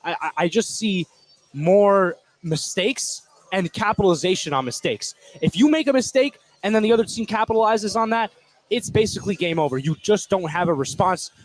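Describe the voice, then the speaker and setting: A man with a high-pitched voice offers color commentary on a live basketball game. His delivery is informal and conversational, and he states his opinions freely as he breaks down the play. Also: lively intonation, normal speed.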